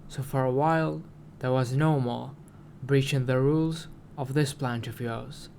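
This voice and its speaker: Man voicing a loud, solemn alien prophet. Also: medium pitch, creaky, slight background noise. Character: male alien prophet loudly preaching to humans. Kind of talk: dramatic story reading.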